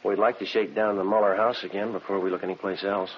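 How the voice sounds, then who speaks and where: An actor playing a clipped, matter-of-fact police detective who narrates a vintage radio drama. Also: male voice, lowish voice, little pitch movement, fast talker, lightly acted. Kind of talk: 1950s radio drama acting. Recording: dull vintage radio recording with music.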